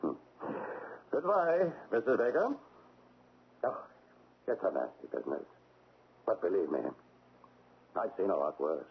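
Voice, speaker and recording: A man playing a stern, formal government agent in an Old West radio drama, speaking in measured official phrases. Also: low voice, wildly swooping pitch, creaky, husky, heavily acted. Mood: grave, official. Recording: narrow-band, noisy old radio broadcast.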